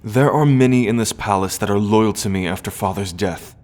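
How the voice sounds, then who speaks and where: A man playing an earnest, sorrowful young prince in a fantasy audio roleplay, speaking gently and formally with weighty pauses. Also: lowish voice, natural voice. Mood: concerned, earnest.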